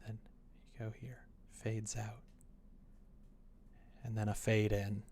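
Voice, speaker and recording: A young adult man chatting casually on a livestream as he works, muttering to himself and then growing animated. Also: on the low side, takes it slowly. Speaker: livestreamer developing a visual novel. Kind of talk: casual livestream chat while working.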